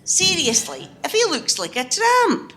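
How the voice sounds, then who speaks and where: Woman acting a feisty, very animated woman. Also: very high voice, faint music behind, exaggerated voice. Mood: scolding. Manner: brisk, incredulous, scolding. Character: feisty, sceptical, kind-hearted working woman on day off. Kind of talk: amateur radio play acting.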